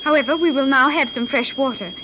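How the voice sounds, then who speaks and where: A woman's voice: sits high, a little fast, lightly acted. A woman playing a precise, logical daughter in a 1930s radio serial, speaking formally and exactly. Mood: cool, instructive. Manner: formal, exact diction without contractions, didactic. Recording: muffled, hissy 1930s radio recording.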